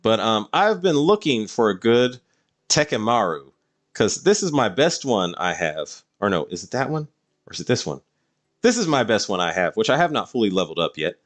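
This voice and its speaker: A man's voice: on the low side, sing-song, moderate pace. A man doing casual live-stream game commentary, explaining things to viewers in a rambling way.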